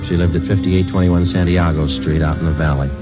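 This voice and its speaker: A very low-voiced, stoic cop played by a male actor in a 1950s radio crime drama. He is clipped and deadpan, businesslike in every line. The recording is old and muffled, with music around it. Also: fairly quick, natural voice. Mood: deadpan, somber. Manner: deadpan, matter-of-fact. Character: stoic male police sergeant, terse and businesslike. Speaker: lead actor and narrator.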